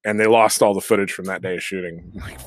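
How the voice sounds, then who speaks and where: A man, an actor guest in a casual chat, talking in an animated way with lots of fillers and frequent laughter. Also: low-pitched, fast. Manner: animated, laughing often, many filler words.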